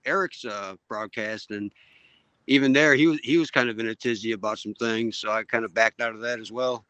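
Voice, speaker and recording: A man's voice: mid-range voice, steady tone, a little fast, sharp tone, clear, resonant tone, dry recording, muffled recording. A man chatting casually from home by a fire, friendly and a bit hesitant. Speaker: guest. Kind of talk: casual chat.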